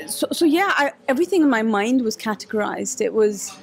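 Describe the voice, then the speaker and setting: A woman's voice: a little high, expressive, a little fast, vocal fry. Hesitant woman reflecting. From Scotland. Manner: hesitant, many restarts and fillers. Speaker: guest, photographer and activist. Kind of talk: reflective interview answers. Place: cafe.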